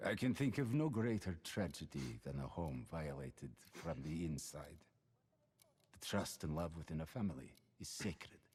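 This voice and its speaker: A man playing a smooth-talking, menacing Soviet security investigator in a video game, measured and theatrical, politely threatening with a husky voice. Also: a bass voice, lively intonation, darkish tone, full character voice. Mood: solemn, courteous. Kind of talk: cinematic video game acting.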